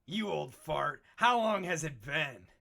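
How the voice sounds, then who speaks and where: Man as a gravelly, cocky rival gang leader. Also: fairly high pitch, sing-song, slow pace, pushed and bright, full character voice. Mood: brash, jovial. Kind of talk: animated comic dub acting.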